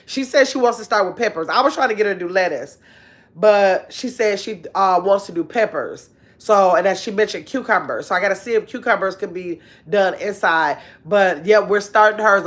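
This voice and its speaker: A woman in her fifties, chatting casually on a livestream from her bedroom, reading viewer comments aloud in an animated, talkative way. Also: mid-range voice. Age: 50s. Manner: chatty, animated, drawn-out exclamations. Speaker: livestream host. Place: bedroom at home, indoors. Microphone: phone held close at arm's length.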